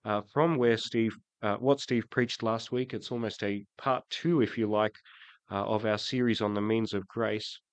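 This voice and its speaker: Croaky man preaching a sermon. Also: medium pitch, no echo. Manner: hesitant, many ums and restarts. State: croaky from a cold. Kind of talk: sermon with prayer. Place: church.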